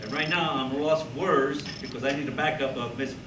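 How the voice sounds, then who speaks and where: A man giving an informal student presentation in a puppet show, halting and losing his thread. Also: medium pitch, steady tone. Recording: echoey room, distant mic, noisy.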